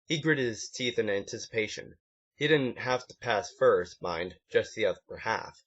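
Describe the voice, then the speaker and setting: A man's voice: fairly low pitch, animated, moderate pace, a bit bright. A man reading a story aloud as an amateur, in one take, now and then stumbling and correcting himself. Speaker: amateur fan fiction reader. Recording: dull lo-fi home recording.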